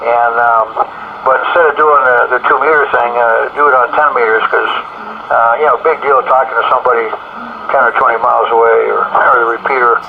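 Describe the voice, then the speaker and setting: A man's voice: mid-range voice, steady tone, vocal fry. Older man rambling over noisy ham radio. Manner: halting, rambling. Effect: heard over two-way FM radio. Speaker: amateur radio operator. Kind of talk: casual two-way radio chat. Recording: dull noisy two-meter ham radio signal.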